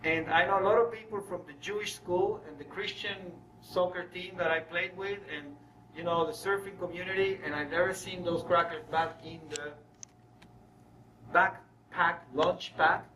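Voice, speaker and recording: A man from Venezuela giving a spiritual talk to an audience, telling personal stories in an animated way and acting out bits of dialogue. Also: fairly high pitch, moderate pace. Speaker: guest lecturer, spiritual speaker. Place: room with an audience. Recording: roomy, distant mic, faint noise.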